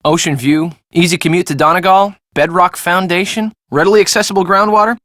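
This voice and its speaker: A man's voice: high-pitched, steady tone, a little fast, a bit bright, no room sound, studio quality. An actor playing a defensive, glib young American man in casual, conversational video game dialogue.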